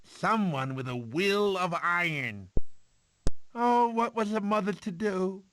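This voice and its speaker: A goofy cartoon sloth uncle tells a bedtime story in a highish, swooping voice. He is fussy, sentimental and rambling, doting on every phrase at an unhurried pace, with some noise behind him. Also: expressive, fairly bright tone, full character voice. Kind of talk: animated film character narration.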